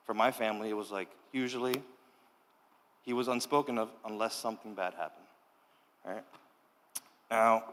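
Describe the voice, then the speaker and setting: Cuban-born guy telling his story to teens, laid back, lots of "all right?", echoey hall. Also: mid-range voice. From Cuba. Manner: casual, keeps checking in with "all right?". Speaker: youth leader giving testimony. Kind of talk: personal testimony to a youth group. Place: church youth hall.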